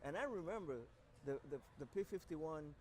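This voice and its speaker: A man's voice: a little high, animated, a little fast, a little mellow, a little hiss or hum. A man originally from Cuba hosting an interview at an outdoor air show, speaking casually with frequent fillers. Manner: affirming, hesitant with fillers. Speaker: interview host.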